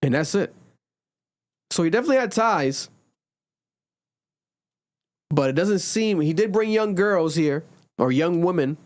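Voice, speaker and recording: A man in his twenties hosting a casual podcast, talking animatedly and jumping high in pitch when he jokes. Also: lively intonation, a little slow, pauses often, no room sound. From Rhode Island, USA. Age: 20s. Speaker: podcast host. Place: home recording during a blizzard.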